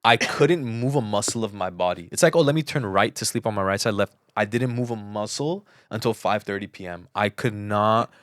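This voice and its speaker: Low-voiced man telling stories, emphatic. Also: brisk, few pauses, fairly bright tone, dry recording, studio quality. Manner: emphatic, often repeats words for stress. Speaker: podcast co-host. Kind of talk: casual chat, personal storytelling.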